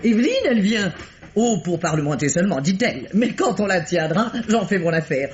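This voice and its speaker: A high-strung, excitable theater manager. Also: male voice, brisk. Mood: flustered. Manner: agitated, exclamatory, bustling. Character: excitable middle-aged theater manager, fussy and dramatic. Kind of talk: 1950s French radio drama acting. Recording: muffled old radio broadcast with faint hiss.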